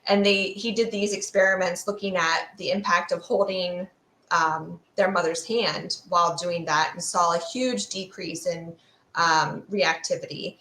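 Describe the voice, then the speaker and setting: Woman expert on an interview, kinda low voice, thoughtful n academic, wanders a bit, roomy echo. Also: steady tone, measured pace, fairly bright tone. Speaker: guest expert, fear sociologist. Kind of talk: explanatory interview talk. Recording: roomy, echoey sound.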